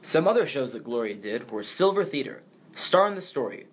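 An American man shares the narration of a biography. He reads prepared lines in a voice of medium pitch, acting as co-presenter. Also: big pitch swings, fairly quick. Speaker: co-presenter, grandson of the subject.

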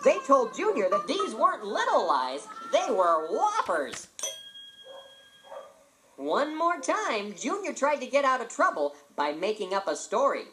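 Woman, children's story narrator, expressive, highish lively pitch, background music. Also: measured pace. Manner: dramatic, expressive reading.

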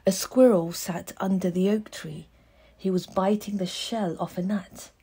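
A woman narrating a children's picture book as a read-aloud, expressive and measured, with pauses. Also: medium pitch, breathy edge. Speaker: audiobook narrator.

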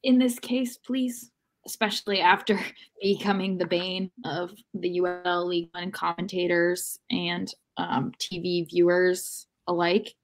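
A woman's voice: on the low side, fairly even intonation, unhurried. A woman in her thirties with an American accent, giving wry opinions in a casual sports chat. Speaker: co-host and team reporter. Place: room at home. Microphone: video call.

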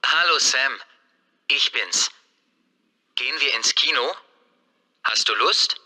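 A casual friend making plans, his voice bright and his pace very slow. Every word comes out deliberate and clear. A long reverb trails behind him. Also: medium pitch, lively intonation, a little hiss or hum, full character voice. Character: casual adult man chatting with a friend.

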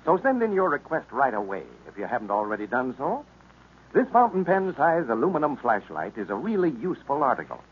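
An American man announcing in a scripted 1930s radio style, as for a sponsor pitch or story introduction. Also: breathy edge. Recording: muffled, noisy vintage radio recording.

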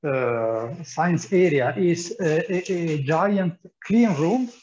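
A man's voice: a little high, lively intonation, slow, a little mellow, highs cut off, slight background noise. A man guiding a tour through a large indoor lab, explaining as he walks, with many fillers and repeated words. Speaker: tour guide at a physics lab.

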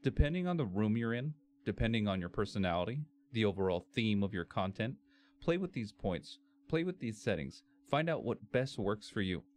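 Male tutorial presenter, bass-heavy and fairly low, reading out instructions step by step at a measured delivery. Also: big pitch swings, darkish tone. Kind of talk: scripted software tutorial narration. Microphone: computer microphone, screen-capture voice-over.

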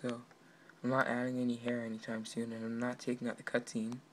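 Casual male tutorial narrator, halting and offhand, little pitch movement, faint background noise. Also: monotone, a bit bright. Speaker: amateur game developer. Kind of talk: casual screen walkthrough, explaining his project. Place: indoors at a computer. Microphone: handheld camera pointed at monitor. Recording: noisy amateur room recording.

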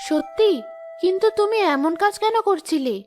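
Woman playing a very high-voiced righteous cartoon fairy. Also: lively intonation, moderate pace, over music. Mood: stern questioning. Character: righteous, protective young female fairy. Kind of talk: cartoon character acting.

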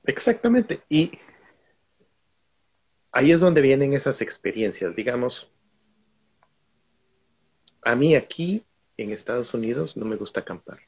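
A man's voice: mid-range voice, very animated, measured pace, creaky, pauses often, darkish tone, breathy edge. A middle-aged man from Guatemala, a guest on a video call, telling stories from his own life in a reflective way. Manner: reflective, storytelling. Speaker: guest speaker.

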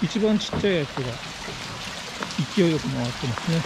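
A man's voice: a little high, lively intonation, a little fast, vocal fry. A man making casual, murmured remarks to himself as he films a cycling vlog outdoors. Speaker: cycling vlogger. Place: outdoors on roadsides and on a bicycle. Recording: outdoor road noise, passing cars.

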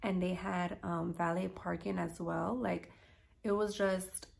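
A woman's voice: fairly low pitch, flat delivery, slow, creaky. A young woman, chatting casually and informally to camera as a lifestyle vlogger. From Queens, New York, United States.

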